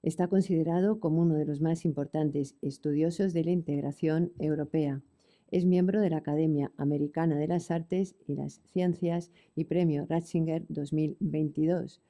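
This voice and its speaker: Low-voiced woman introducing a speaker. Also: mellow. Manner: measured, ceremonious listing of credentials. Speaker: event presenter. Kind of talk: formal read introduction.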